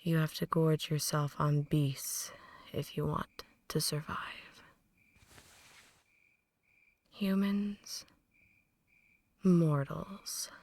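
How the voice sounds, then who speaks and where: A woman playing a possessive, ancient vampire in an ASMR roleplay, speaking softly and breathily, often in whispers, with a deliberate, condescending menace. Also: low-pitched, steady tone, full character voice. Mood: cold, instructive. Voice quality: soft, breathy, largely whispered. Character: possessive, ancient female vampire mentoring a newborn, cold and menacing. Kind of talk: ASMR roleplay, slow intimate dramatic monologue.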